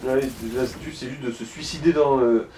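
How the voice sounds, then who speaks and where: A man's voice: medium pitch, fairly even intonation, moderate pace, crowd noise. A man giving casual guidance during a video game, mixing wordy, mock-formal phrasing with slang. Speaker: co-player guiding the gamer. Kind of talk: casual gaming commentary. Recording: echoey room.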